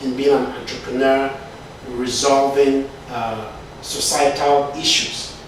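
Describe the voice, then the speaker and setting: A middle-aged man from Cameroon, speaking as an IT consultant and entrepreneur. He pauses often, restarts his sentences and checks in with "right?". His voice is distant and bounces around a very echoey room with faint background noise. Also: medium pitch, flat delivery. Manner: hesitant, many fillers and restarts, frequent "right?". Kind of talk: interview answers.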